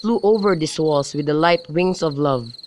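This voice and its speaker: A man's voice: fairly high pitch, moderate pace, lightly acted. A man playing an ardent, reckless young nobleman in love, in an amateur student radio drama of modernized Shakespeare. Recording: noisy home recording, crickets behind.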